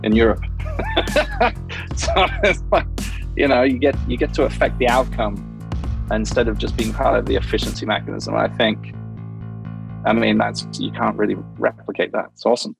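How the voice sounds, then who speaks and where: A man in his thirties, a startup founder talking casually and with excitement. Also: on the low side, normal speed, vocal fry, long pauses, soft background music. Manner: animated, casual, fillers like "you know". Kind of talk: enthusiastic interview answer.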